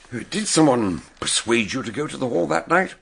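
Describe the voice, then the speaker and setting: An actor playing an eccentric older detective in a British radio drama, husky and gravelly, narrating and probing with questions. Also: male voice, medium pitch, sing-song, unhurried, a bit bright, full character voice.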